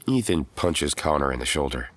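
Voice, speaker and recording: A man's voice: very deep voice, lively intonation, normal speed, a bit bright, no room sound, full character voice. A man, middle-aged, acting as a world-weary former hero turned professor who narrates his own story in an audio drama. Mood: measured narration. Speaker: narrator and lead actor. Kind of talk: scripted first-person audio drama narration. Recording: music under the voice.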